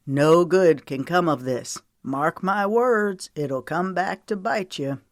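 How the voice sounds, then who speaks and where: A protective, weary man in an audiobook drama, acted out by a female narrator. He is tender yet stern, lecturing earnestly in a low voice, recorded dry. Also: slow pace, dry recording. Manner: earnest, lecturing, folksy storytelling.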